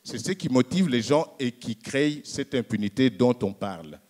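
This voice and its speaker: A man answering as a panel speaker in a public discussion, arguing in a halting way and repeating words. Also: medium pitch, animated, a little mellow.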